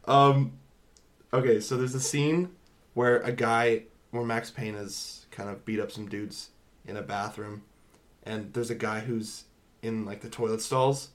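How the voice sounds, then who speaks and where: A man's voice: lowish voice, fairly even intonation, measured pace. A man co-hosting a podcast, chatting informally and bluntly about films. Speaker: podcast co-host. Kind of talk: casual movie review chat.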